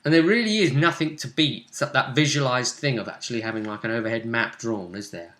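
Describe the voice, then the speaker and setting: A British man with a bright, forceful voice at a middle pitch. He hosts as game master, chatting casually through a tabletop session recap and breaking into animated asides. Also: brisk. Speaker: game master, host of a tabletop RPG vidcast.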